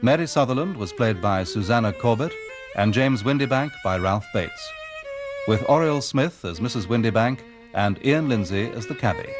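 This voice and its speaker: British male radio announcer over violin. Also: mid-range voice. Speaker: continuity announcer. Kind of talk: scripted credits announcement.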